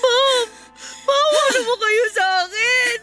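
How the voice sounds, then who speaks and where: Young filipina housemaid, super high voice, meek n polite, kinda plaintive, radio drama w music behind. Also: female voice, takes it slowly, bright, forceful tone, heavily acted. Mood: crying out, distressed. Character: meek, naive young housemaid, cheekily literal. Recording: background music under voice.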